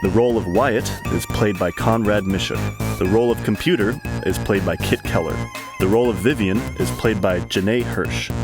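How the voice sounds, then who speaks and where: A male announcer reads podcast credits in a fairly low voice. His pitch swings very widely, and loud electronic music plays behind him. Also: wildly swooping pitch, normal speed. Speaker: credits announcer and sound effects performer. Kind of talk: scripted podcast credits narration.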